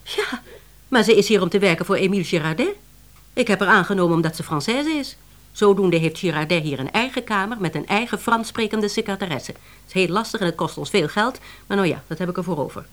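An actress playing a formal, exasperated woman boss at a publishing house in a radio play, measured and reproving. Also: mid-range voice, expressive, close to an everyday voice. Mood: dry, questioning.

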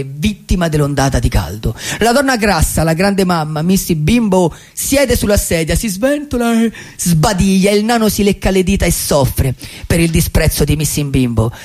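A male actor playing a melancholy wandering drifter who tells his life in a poetic, theatrical radio monologue with a husky, gravelly voice. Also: sits high, darkish tone. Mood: wondrous, evocative. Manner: theatrical, lyrical, with pauses for effect.

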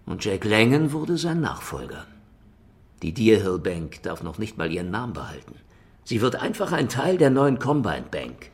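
An actor playing a chatty, bitter ex-convict in his fifties, colloquial and confiding, in a crime radio drama. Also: deep voice. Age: 50s. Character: stocky fiftyish ex-convict former guard, chatty and bitter.

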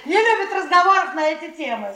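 An actress playing a brash, flirtatious middle-aged wife who mocks and teases in a theatrical radio play. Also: female voice, very high-pitched, very animated, fast talker, crowd noise. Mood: flirtatious, needling. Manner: taunting, teasing, loud and laughing. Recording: echoey theatre recording with audience noise.

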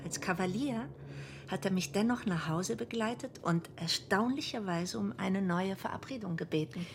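A woman's voice: medium pitch, normal speed, slight background noise, close to an everyday voice. An actress playing a confident, witty young woman in a radio drama, teasing and self-assured.

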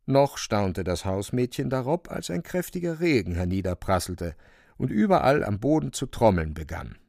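A man's voice: fairly low pitch, big pitch swings, normal speed, creaky, a little mellow, no echo, clean studio sound. A man narrating a literary radio drama in a dramatic, scripted storytelling style. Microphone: close, dry studio microphone.

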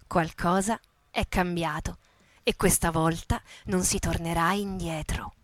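A woman playing an impassioned leftist eyewitness in a solemn, measured, declamatory literary reading for radio theatre. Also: on the low side, steady tone, close to an everyday voice.

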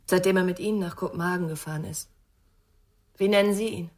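A woman's voice: fairly low pitch, fairly even intonation, fairly quick, a little mellow, lightly acted. An actress playing a curious, candid girlfriend of an investigator in a radio crime drama, conversational and questioning. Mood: curious, probing.

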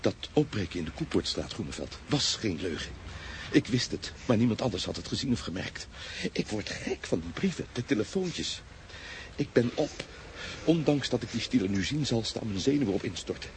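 A man's voice: fairly low pitch, big pitch swings, moderate pace, slight background noise. Agitated male official, voice swinging widely. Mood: distraught. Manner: agitated, pleading. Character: Keldermans, frightened alderman at the end of his nerves. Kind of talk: Dutch radio drama acting.

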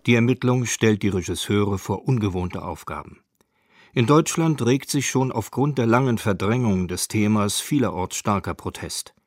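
A man's voice: on the low side, lively intonation, normal speed, no echo. A man reads scripted radio feature narration in a factual, precise way. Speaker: documentary narrator. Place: dry studio.

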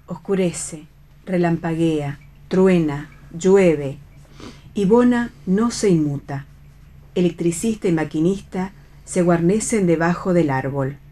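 A woman's voice: on the low side, slow, dark tone. A woman narrating a radio play, reading stage directions as scripted narration.

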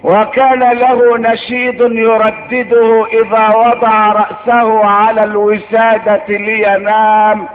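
A man with an Egyptian accent delivering an impassioned sermon, emphatic and declamatory, repeating phrases for effect. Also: extremely high pitch. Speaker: preacher. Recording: phone-like band, long hall reverb.

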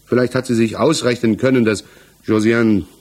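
A man's voice: mid-range voice, fairly even intonation, a little mellow, lightly acted. An actor playing a defensive, hesitant married village tradesman under questioning in a 1960s German radio play, searching for his words. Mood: hesitant, reflective. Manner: halting, searching for words, evasive.

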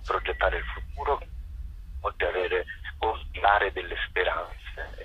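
A man giving unscripted interview answers as a festival director, speaking haltingly with drawn-out words and repetitions. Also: low-pitched, sharp tone, breathy edge, dull audio.

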